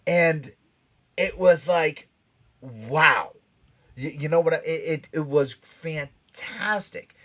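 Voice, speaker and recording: A man's voice: a little high, slow pace, a bit bright. Rambling, emphatic man hosting a chat show. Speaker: radio show host. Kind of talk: casual pop-culture panel chat.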